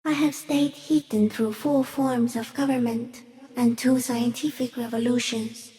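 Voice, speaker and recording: A woman voicing an ancient, shy giant stick insect in a video game, gentle and solemn, speaking poetically with hushed, eerie wonder. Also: on the high side, fairly even intonation, unhurried, close to an everyday voice. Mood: gentle, matter-of-fact. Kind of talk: slow poetic game monologue.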